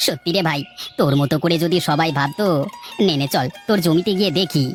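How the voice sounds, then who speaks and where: A woman's voice: deep voice, brisk, over music, natural voice. A woman voicing a plaintive, lamenting male village farmer in a melodramatic cartoon. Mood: grateful.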